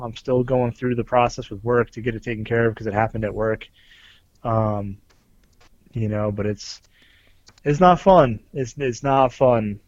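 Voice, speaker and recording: A man co-hosting a podcast who talks earnestly and explains things in detail, with many pauses and ums. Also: medium pitch, darkish tone, dry recording, dull audio. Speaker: podcast co-host, police officer. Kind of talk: casual chat.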